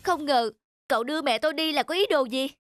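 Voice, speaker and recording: A woman playing a busy, anxious, suspicious husband and son in a cartoon, with a brisk, fretful manner. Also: high voice, natural voice. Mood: worried, accusing. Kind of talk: cartoon voice acting. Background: music.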